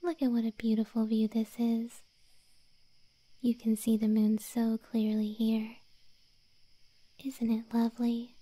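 A woman voicing a possessive, obsessive moth girlfriend in an ASMR roleplay, sweetly menacing in slow, breathy, cooing whispers close to the microphone. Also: on the high side, monotone, mellow, no room sound. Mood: coaxing, tender. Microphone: close microphone, dry.

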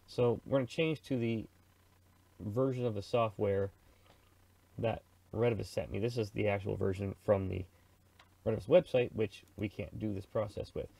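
Casual, gravelly middle-aged man explaining software. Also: fairly low pitch, fairly even intonation, moderate pace, dry recording, low-quality recording. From Canada. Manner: chatty, informal, slangy, narrates own thoughts. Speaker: presenter of a radio software tutorial. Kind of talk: casual screen-recorded tutorial.

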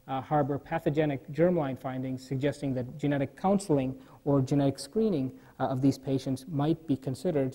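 A man delivers a keynote lecture from a lectern in a large conference hall. His voice sits a little high yet sounds mellow and warm. He speaks in a measured way with frequent fillers. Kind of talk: scientific conference talk.

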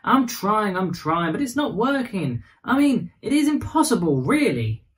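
A man's voice: high-pitched, big pitch swings, measured pace, pitched above the performer's own voice. A man voicing an earnest little girl, anxious yet polite, in a storybook reading.

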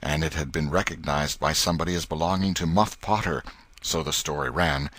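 A man narrating an audiobook in an expressive, literary storytelling style with a dramatic delivery. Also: very deep voice, fairly quick, no echo.

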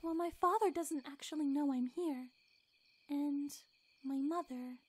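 A woman voicing a timid, gentle young female pony in a cartoon, speaking hesitantly and apologetically. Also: high voice, measured pace. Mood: apologetic. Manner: hesitant, apologetic, softly earnest. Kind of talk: animated fan drama acting.

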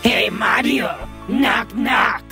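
A man voicing a spiteful, foul-mouthed cartoon plumber villain, loud, exaggerated and taunting. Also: high-pitched, extremely slow, gravelly, exaggerated voice. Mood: mocking. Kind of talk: comic dub character acting. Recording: music behind the voice.

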